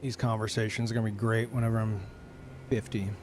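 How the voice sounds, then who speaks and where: A man's voice: on the low side, little pitch movement, fast talker, noisy background. A man in his thirties casually chatting and swearing as he reads out chat messages while streaming a game.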